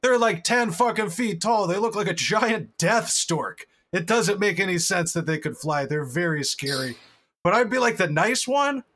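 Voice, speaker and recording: A man's voice: high voice, lively intonation, normal speed, a bit bright, a little hiss or hum. A man riffing playfully and profanely in casual banter with friends. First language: English.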